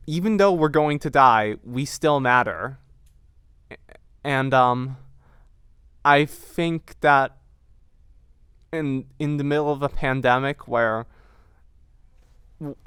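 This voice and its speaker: Slow, halting young man, clear voice. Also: medium pitch, a bit bright, dry recording, studio quality. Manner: halting, searching, repeats words, earnest. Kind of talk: reflective interview talk about a production.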